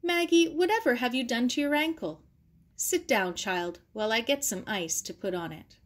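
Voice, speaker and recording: A woman's voice: fairly high pitch, expressive, normal speed, lightly acted. A woman voicing a kindly, flustered, emotional aunt in a storybook reading. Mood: flustered, bustling. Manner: emotional, exclaiming, fussing. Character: kindly, flustered, tearful aunt, a motherly housewife.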